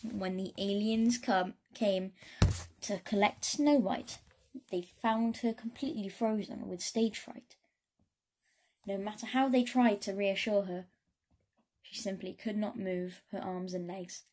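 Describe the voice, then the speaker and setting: A woman storyteller giving an expressive read-aloud of a children's story. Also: mid-range voice, a little slow.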